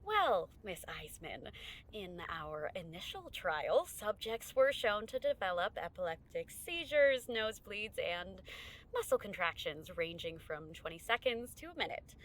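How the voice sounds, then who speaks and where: A woman acting in an audio drama as a poised tech research lead, speaking formally and in a measured, clinical way. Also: medium pitch, big pitch swings, fairly bright tone, rough recording. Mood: clinical, guarded.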